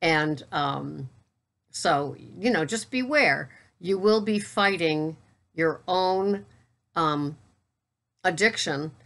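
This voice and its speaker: A woman in her sixties talking casually to camera as a keto vlogger and coach, in a steady, matter-of-fact voice with wry asides. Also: on the low side, extremely slow. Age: 60s. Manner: steady, matter-of-fact, self-described monotone.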